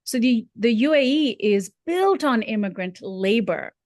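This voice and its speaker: A woman's voice: fairly high pitch, animated, measured pace, darkish tone, dry recording, clean studio sound. A woman raised in Dubai, talking earnestly as a show's senior correspondent, thoughtful, with many fillers and restarts. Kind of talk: conversational commentary.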